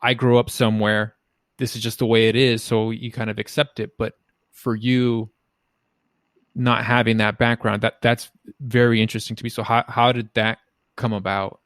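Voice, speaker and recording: A man hosting a podcast, asking questions in a halting way and searching for his words. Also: medium pitch, flat delivery, a bit bright, no echo. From United States. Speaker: podcast host. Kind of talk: conversational interview hosting.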